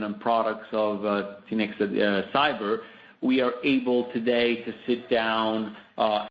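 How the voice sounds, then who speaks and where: A man speaking as a company executive, answering analysts off the cuff in a hesitant, wordy way full of asides. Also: lowish voice. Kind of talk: spontaneous business explanation. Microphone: conference call line. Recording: slightly muffled conference call audio.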